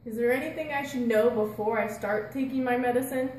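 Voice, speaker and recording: A woman's voice: fairly high pitch, brisk, darkish tone, slight background noise, close to an everyday voice. A woman playing an inquisitive puppet patient in a scripted educational show, with a simple, questioning manner. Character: inquisitive female puppet patient with high blood pressure. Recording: roomy echo.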